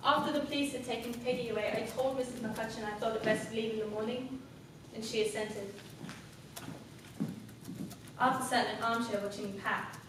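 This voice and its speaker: A young woman acting a composed, cunning, sharp-tongued woman in a formal, deliberate theatrical monologue. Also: fairly high pitch, fairly even intonation, natural voice. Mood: cool, confiding. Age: young adult. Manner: deliberate, formal, dramatic delivery. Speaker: student actor. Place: classroom performance in front of class. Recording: echoey room, distant mic, background noise.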